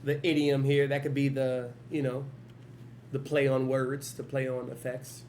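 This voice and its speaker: A man hosting a reaction channel, talking loosely in slang and breaking into quick hyped outbursts between calmer remarks. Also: mid-range voice, noisy background. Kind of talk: casual music video reaction commentary.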